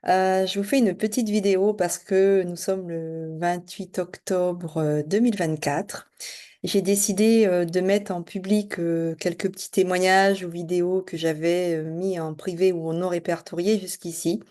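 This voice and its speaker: A middle-aged woman from French Guiana who works as a spiritual and business coach, introducing herself to camera in a fast, casual way with many hesitations. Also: lowish voice, steady tone, mellow.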